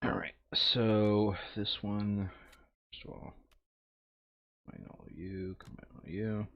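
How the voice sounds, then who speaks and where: A man's voice: on the low side, flat delivery, slow, creaky, no echo, dull audio. A man chatting casually while playing a game, thinking aloud and muttering hesitantly to himself. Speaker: player setting up a co-op board game campaign.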